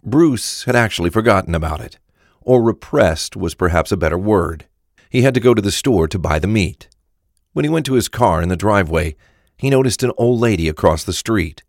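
A man's voice: lowish voice, expressive, brisk, no echo, clean studio sound. A man narrating a scripted audio drama as storyteller.

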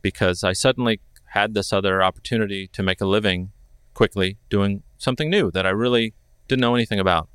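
A man's voice: fairly low pitch, fairly even intonation, brisk, fairly bright tone, clear, resonant tone, no echo. A man who also sings, interviewing a fellow singer in a chatty, joking way with long questions. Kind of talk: casual interview conversation.

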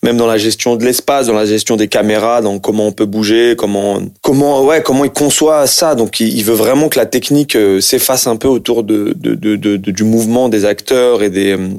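A man in his late thirties talks about his acting work in a relaxed, chatty way, laughing easily. His voice sits low and the sound is dry and close. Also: brisk, few pauses, no echo. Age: late 30s. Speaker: actor, interview guest. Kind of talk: casual interview chat.

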